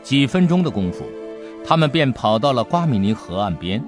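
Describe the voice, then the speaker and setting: Guy reading an adventure audiobook, super animated delivery, storyteller style, bit of music under it. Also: medium pitch, moderate pace. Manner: expressive storytelling. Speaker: audiobook narrator. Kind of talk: scripted dramatic story narration.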